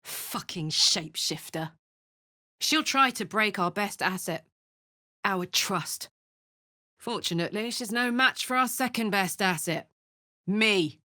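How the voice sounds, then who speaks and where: A woman's voice: mid-range voice, animated, slow pace, pauses often, bright, forceful tone, no room sound, studio quality. An actress playing a brash, big-hearted tiefling warrior woman in a video game, with a blunt, informal manner that turns from playful to tender. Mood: blunt, defiant. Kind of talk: video game character voice lines.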